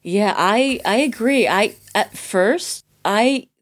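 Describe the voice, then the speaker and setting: A woman from Sweden, a native Swedish speaker, casually discussing a film in a thoughtful way, often pausing to rephrase. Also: medium pitch. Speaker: podcast guest reviewing a film.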